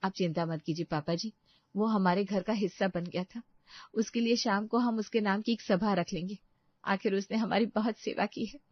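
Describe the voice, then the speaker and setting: Lady playing a sensible polite daughter in law in a cartoon story, medium pitch, slightly dull audio. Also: moderate pace, no echo, close to an everyday voice. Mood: reassuring. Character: composed, practical young daughter-in-law, polite to elders. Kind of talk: Hindi moral story cartoon voice acting.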